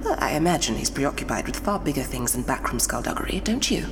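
A calculating prime minister. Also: female voice, rumbling low, sing-song, fast talker, long reverb tail, background noise. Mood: cool, guarded. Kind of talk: audio drama acting.

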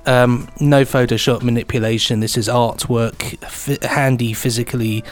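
A man's voice: medium pitch, steady tone, normal speed. A man co-hosting a podcast, chatting casually and reading listener emails in a rambling, jokey way. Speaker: podcast co-host.

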